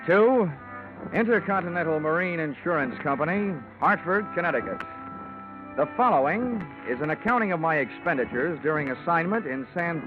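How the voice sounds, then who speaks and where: An actor playing a wry, wisecracking freelance insurance investigator in old radio detective drama style, dry and clipped. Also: male voice, on the high side, close to an everyday voice. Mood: wry narrating. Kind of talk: 1940s-50s radio detective drama acting and narration. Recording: tinny vintage radio recording, music behind.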